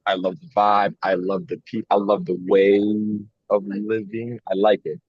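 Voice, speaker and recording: Young man, low voice, casual podcast host, rambling, reflective, lots of fillers, dry muffled sound. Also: level tone, moderate pace, breathy edge, no echo.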